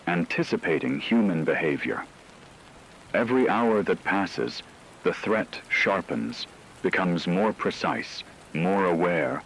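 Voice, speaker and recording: A man playing a solemn radio news anchor, delivering a scripted emergency broadcast in a measured, ominous way. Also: deep voice, gravelly, a little hiss or hum, exaggerated voice, rough recording. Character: grave male radio news anchor reporting an apocalypse.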